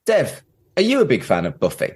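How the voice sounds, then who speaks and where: A man's voice: mid-range voice, wildly swooping pitch, brisk, husky. A man hosting a podcast in a casual, conversational style. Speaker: podcast host.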